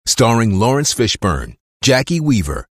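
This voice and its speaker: A man reading a scripted ad as an announcer in a smooth promotional delivery. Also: mid-range voice, wildly swooping pitch, measured pace, no echo, studio quality. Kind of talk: scripted ad read.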